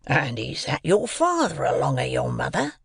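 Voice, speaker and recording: Storybook villain, played by a male reader: rough convict, gravelly, slow, menacing, rustic dialect. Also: mid-range voice, wildly swooping pitch, a bit bright, dry recording, clean studio sound, full character voice. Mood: gruff, wary. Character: menacing, ragged, growling escaped convict, rough man.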